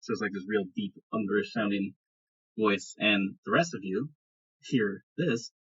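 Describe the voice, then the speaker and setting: A man runs a tabletop fantasy game, narrating casually. His voice is husky with a forceful, sharp edge. The recording is slightly dull. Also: lowish voice, unhurried. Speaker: game master.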